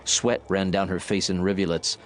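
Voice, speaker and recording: Low-voiced male audiobook narrator over background noise. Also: little pitch movement, moderate pace. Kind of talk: dramatic scripted audiobook narration.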